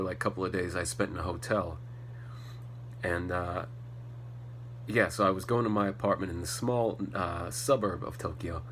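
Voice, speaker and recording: A man's voice: low-pitched, fairly bright tone. An American man slightly congested from a cold, rambling casually and bluntly as a solo podcast host, swearing often. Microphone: faulty setup, not the intended microphone. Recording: poor podcast audio with hum and noise.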